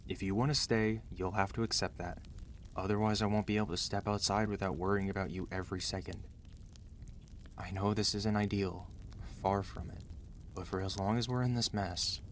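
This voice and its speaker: Guy doing a lowish voiced mafia boss husband, protective n tender but bossy, intimate asmr roleplay, bit of background noise. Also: lightly acted. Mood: firm, caring. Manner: earnest, commanding yet tender, measured. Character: protective, gentle mafia boss husband, ruthless outside, devoted. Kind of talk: intimate ASMR romance roleplay, speaking to the listener.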